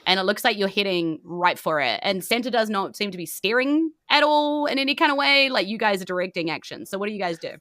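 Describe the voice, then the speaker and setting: A woman with a New Zealand accent improvising tabletop game narration as game master, in an animated, informal way. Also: mid-range voice, fast talker, hardly any pauses, no room sound, clean studio sound.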